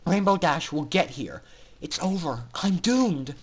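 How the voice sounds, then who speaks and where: A man's voice: fairly high pitch, lively intonation, unhurried, muffled recording. A man voicing a brave, desperate young female pegasus who leads others, urgent and commanding in a dramatic story reading. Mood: despairing. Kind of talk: dramatic fan fiction reading.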